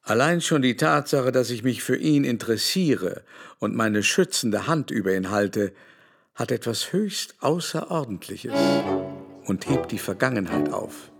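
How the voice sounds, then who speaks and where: An actor playing a haughty, condescending baron in a German radio drama, speaking in a mannered, ornate, disdainful way. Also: male voice, mid-range voice, animated, measured pace, vocal fry, a bit bright, breathy edge, soft background music, exaggerated voice. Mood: haughty, confiding.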